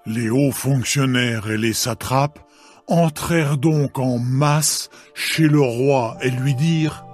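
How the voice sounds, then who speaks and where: Slow, measured male scripture narrator. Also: mid-range voice, a little mellow. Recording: background music.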